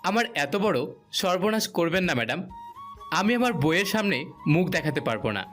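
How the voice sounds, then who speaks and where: Man acting an earnest employee, very animated pitch. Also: normal speed, soft background music. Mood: protesting, pleading. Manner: polite, earnest, pleading. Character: deferential married male employee, guilt-ridden and pleading. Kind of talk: romantic audio story acting.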